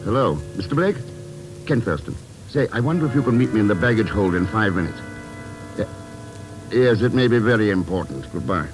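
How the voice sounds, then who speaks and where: An actor playing a cool, shrewd, dryly witty investigator in an old radio drama, measured and deliberate. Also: male voice, fairly low pitch, expressive, over loud music, lightly acted, low-quality recording. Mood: businesslike. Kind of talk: 1940s-50s American radio drama acting.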